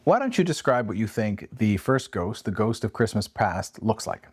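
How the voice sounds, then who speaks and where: A man's voice: lowish voice, big pitch swings, normal speed, slightly rough, dry recording, studio quality. A man co-hosting a casual book chat, reading aloud and commenting with playful, joking asides. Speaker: podcast co-host reading a classic aloud.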